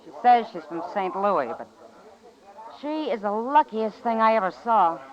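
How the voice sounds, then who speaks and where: An actress plays an easygoing woman of an Old West saloon in a radio drama, American and worldly. She drawls slowly with expressive swings of pitch. The vintage recording is dull. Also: close to an everyday voice. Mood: relaxed, confiding. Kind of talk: 1950s radio western acting.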